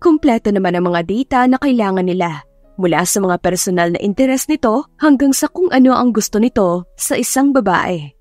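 A woman's voice: mid-range voice, expressive, normal speed, a little mellow, no room sound. A woman with a Filipino accent reading a scripted story in a dramatic narration style. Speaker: audiobook narrator. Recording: background music under narration.

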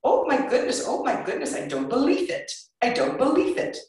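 A man's voice: on the high side, sing-song, a little fast, pushed and bright, distant mic. A woman voicing a cheerful, chatty male convenience store clerk in a live reading. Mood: excited. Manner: merry, repetitive, eager. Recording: echoey live venue.

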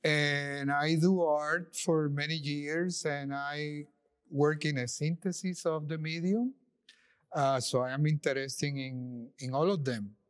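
Older man, 60s, halting guest artist, dry studio sound. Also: fairly high pitch, clean tone, dry recording. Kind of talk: panel conversation.

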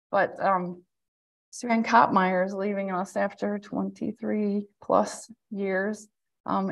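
A woman in her thirties with a loud voice, giving an administrator's report at a county board work session over a video call, talking conversationally with many fillers and restarts. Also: mid-range voice. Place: indoor office, joining a hybrid meeting. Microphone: webcam on a video call.

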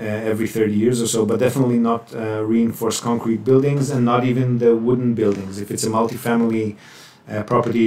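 A man presenting a webinar and answering questions in a measured, explanatory way with hesitant pauses. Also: on the low side, a little mellow. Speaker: webinar presenter, property buyer's agent. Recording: roomy echo, distant microphone.

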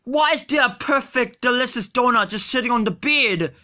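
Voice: mid-range voice, normal speed, pushed and bright, lightly acted. A performer voicing a hot-tempered, whiny, foul-mouthed puppet in a comic skit, repeating exclamations indignantly. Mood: greedy, gloating.

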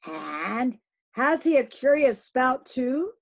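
Woman doing an excited male harpooneer. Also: high voice, slow, pitched above the performer's own voice, full character voice.

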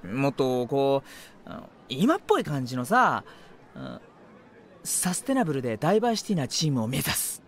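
A man's voice: mid-range voice, big pitch swings, moderate pace. A man acting a playful, mischievous teenage volleyball player in an anime radio drama, with a teasing, theatrical manner.

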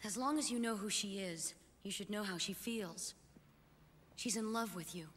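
Woman voicing a nervous young woman, bright tense voice, stammering, faint noise. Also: medium pitch, normal speed, long reverb tail, close to an everyday voice.

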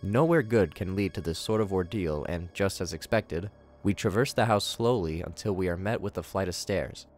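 A young man reading his scripted commentary on a horror video series, in a measured way. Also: sits low, soft background music. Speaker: video reviewer.

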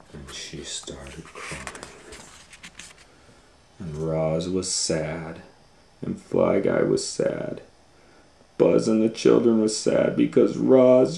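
Storytime host: man, very low voice, emphatic drawn-out read-aloud delivery, roomy echo. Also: vocal fry, dark tone. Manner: drawn-out emphatic phrasing with asides. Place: indoor room, reading a book on a table. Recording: roomy, echoey indoor sound.

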